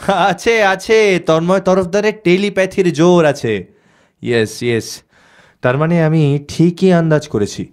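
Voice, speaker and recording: A man's voice: on the high side, expressive, measured pace, darkish tone, smooth. A man playing a shrewd, confident young amateur detective in an audio story. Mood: excited. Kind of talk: Bengali audio story acting.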